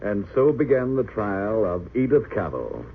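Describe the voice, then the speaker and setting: A man's voice: fairly low pitch, sing-song, unhurried, soft background music. A man narrating an old radio drama in a grave, theatrical, formal announcer's style. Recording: noisy narrow-band old radio recording.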